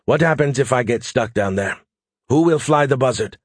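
A man's voice: mid-range voice, lively intonation, moderate pace, husky, no echo, studio quality. A man voicing an anxious but dutiful female army pilot in an audiobook, speaking in a clipped way. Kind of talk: audiobook character voice.

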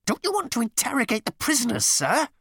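A shrill, squealing officer bursting with fury, his voice leaping high and sharp. The sound is close and dry. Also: wildly swooping pitch, fast, slightly rough, no echo, full character voice. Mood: shrill furious. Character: shrieking fanatical male officer, petty and furious.

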